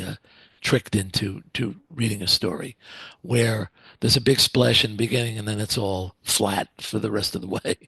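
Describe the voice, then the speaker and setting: A man's voice: mid-range voice, gravelly, husky, dry recording. A man hosting a podcast interview, hesitant and repeating his words as he asks questions. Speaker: podcast host.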